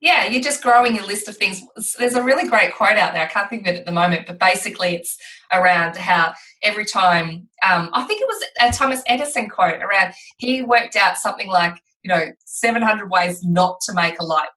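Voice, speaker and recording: Australian woman with a bright, forceful voice. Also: medium pitch, brisk, far from the mic, rough recording. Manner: chatty, searching for words, with frequent restarts. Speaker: podcast host. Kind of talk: casual interview chat.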